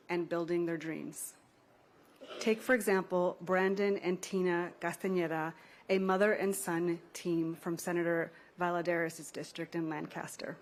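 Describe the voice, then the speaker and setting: A woman with a somewhat low voice speaks as a company representative. She delivers prepared testimony in a measured, formal and scripted manner.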